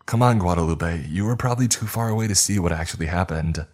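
A man voicing a steady, practical young woman who tries to calm others and takes charge. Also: low voice, quick pace.

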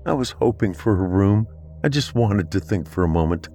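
A man voicing a heartbroken young wife whose voice is pushed up high and strained with tears. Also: expressive, moderate pace, mellow, soft background music. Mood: shaken. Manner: raised pitch, strained and tearful.

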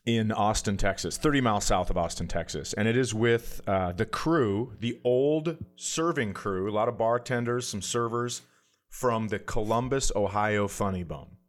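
A man with a fairly low voice co-hosts a comedy podcast. His delivery is casual and conversational, moving between blunt, profane teasing and easy storytelling. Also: normal speed. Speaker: podcast co-host. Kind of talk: casual comic banter and storytelling.

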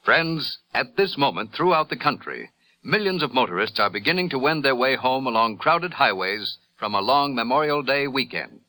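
A man's voice: medium pitch, animated, normal speed, pushed and bright, dry recording. A man with an American accent, an earnest and formal 1950s radio announcer reading a scripted message. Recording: vintage 1950s radio broadcast recording.

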